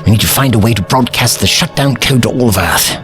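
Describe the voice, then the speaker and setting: An actor playing an eccentric, self-assured older time traveller in an audio drama, with pompous, precise, formal diction. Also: male voice, mid-range voice, fast, fairly bright tone, soft background music, lightly acted. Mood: grave, explanatory.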